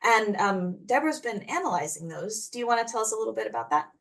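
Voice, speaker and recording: A woman's voice: on the high side, lively intonation, fast talker, creaky, fairly bright tone. A woman hosting a workshop, giving an informal introduction in a conversational way. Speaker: workshop host.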